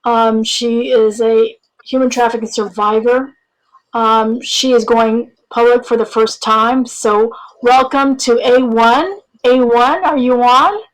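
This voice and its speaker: Woman host, kinda high and flat, easygoing and encouraging. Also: takes it slowly, rough recording. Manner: informal, encouraging, unscripted. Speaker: podcast host. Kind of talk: casual interview intro.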